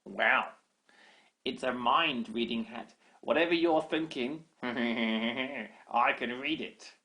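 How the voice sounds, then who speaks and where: A man voicing a mischievous, gleeful baby girl goblin with a high, squeaky, crowing chant. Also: slow pace, sharp tone. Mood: sly.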